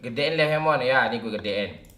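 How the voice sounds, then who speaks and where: A man with a Minang accent streaming a mobile shooter game live, giving loud, bossy calls to his squad and swearing often. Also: mid-range voice, expressive, normal speed. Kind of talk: live gameplay chat. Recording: echoey room with loud game noise.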